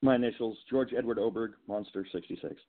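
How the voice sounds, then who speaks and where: A man calling in as a poet, chatting briefly and casually in a husky voice. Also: fairly low pitch, fast. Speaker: caller and poet. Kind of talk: brief, casual phone chat. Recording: muffled, narrow phone line.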